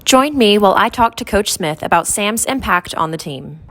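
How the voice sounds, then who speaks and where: Woman student reporter, mid pitch, upbeat scripted read. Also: expressive, normal speed, fairly bright tone. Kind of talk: scripted news narration.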